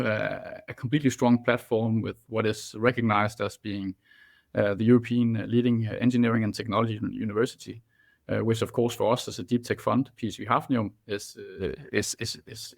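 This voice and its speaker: Danish accent guy, middle-aged, earnest and halting, lots of uhs. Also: fairly low pitch, steady tone, creaky. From Denmark. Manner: halting, many fillers and restarts, earnest. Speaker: guest, venture fund partner. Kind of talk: interview answers.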